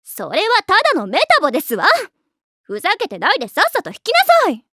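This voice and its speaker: A prim, pompous schoolgirl of the refined young-lady type in an anime. Her voice is high and swings widely in pitch. She is strict and sharp-tongued, in a dry recording. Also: huge pitch swings, fast, dry recording, exaggerated voice. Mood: condescending. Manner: prim, pompous ojou-sama diction. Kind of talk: anime voice acting.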